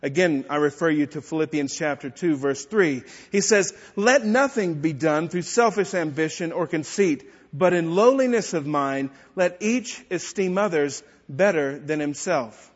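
A man preaching a measured sermon, with pointed asides to the congregation. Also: a little high, animated, husky. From Texas. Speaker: pastor. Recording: dull lo-fi sound in a reverberant hall.

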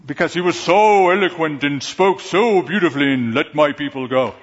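A man with a somewhat high, husky voice preaches in a conversational, animated way, now and then mimicking a stammer. His words ring with the long reverb of a large hall, and the sound is slightly dull. He delivers the sermon as a pastor to his congregation. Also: normal speed.

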